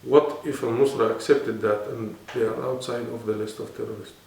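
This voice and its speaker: A man's voice: medium pitch, steady tone, moderate pace, gravelly, a little mellow. A man with an Arabic accent, speaking non-native English and explaining earnestly as a guest in a serious interview. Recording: echoey room, mic at a distance.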